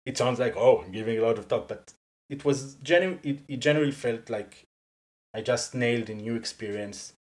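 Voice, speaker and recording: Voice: medium pitch, a little fast. Israeli-accented speaker, chatty and laughing. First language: Hebrew. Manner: animated, laughs often, leans on "like" and "uh", restarts sentences. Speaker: guest, conference speaker. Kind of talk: casual interview chat.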